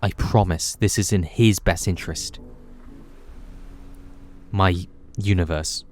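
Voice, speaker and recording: Man playing a deep, solemn time traveller. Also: fairly even intonation, takes it slowly, darkish tone, faint music behind. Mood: earnest pleading. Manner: solemn, imploring. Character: grave, secretive alien time traveller, earnest and guilt-ridden.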